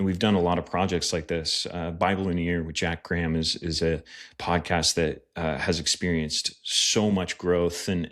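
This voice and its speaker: Male podcast guest, deep voice, earnest and thoughtful in delivery. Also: a bit bright. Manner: earnest and reflective, many fillers. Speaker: guest, pastor and media producer. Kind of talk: casual podcast conversation.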